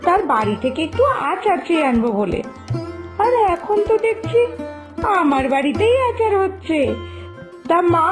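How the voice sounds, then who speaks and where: Woman voicing a high-pitched, fretful young daughter-in-law. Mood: eager, curious. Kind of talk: scripted cartoon dialogue.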